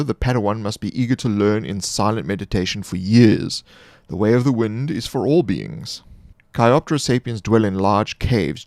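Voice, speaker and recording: A man reading scripted fantasy monster lore as a narrator, in a measured, formal storytelling manner. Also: lowish voice, no echo.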